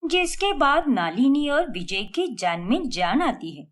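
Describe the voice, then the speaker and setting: Woman reading a kids' cartoon story, slow and expressive, a bit high. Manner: measured, storytelling. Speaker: narrator. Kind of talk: scripted narration of a cartoon story.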